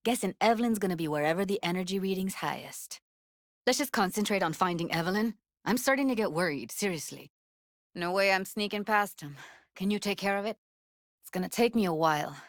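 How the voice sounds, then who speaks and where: A woman's voice: medium pitch, expressive, fairly quick, no echo, clean studio sound, close to an everyday voice. A woman voicing a streetwise, guarded young techie in a video game, blunt and slangy, with an American accent. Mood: worried, tender. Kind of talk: naturalistic video game dialogue.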